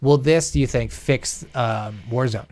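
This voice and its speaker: A man's voice: medium pitch, a little slow, a little mellow. A man co-hosting a gaming show in casual chat, joking, swearing and mimicking imagined speakers as he goes. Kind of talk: casual chat about video games.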